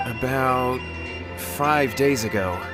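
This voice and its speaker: A man's voice: mid-range voice, slow, music in the background, natural voice. A man playing an anxious, earnest young man in a video game, pleading and insistent. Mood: worried.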